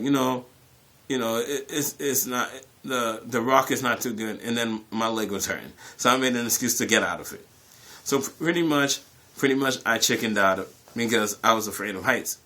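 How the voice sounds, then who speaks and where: Man telling an animated personal story. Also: medium pitch. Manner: animated, acts out dialogue with repeated phrases. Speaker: YouTube storyteller. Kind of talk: casual personal storytelling to camera.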